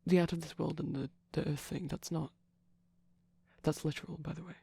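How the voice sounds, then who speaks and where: A man playing a shy, gentle vampire boyfriend in an intimate ASMR roleplay, soft-spoken, breathy and creaky, rambling hesitantly and dipping toward whispers. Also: on the high side, fairly even intonation, fairly quick. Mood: rambling, gently teasing.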